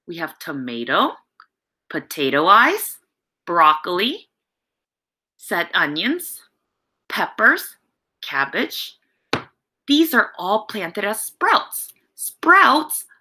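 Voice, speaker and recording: A woman's voice: mid-range voice, bright, forceful tone. A middle-aged woman reading a picture book aloud to young children as a teacher, explaining expressively and addressing them directly over a video call. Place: home room with bookshelves.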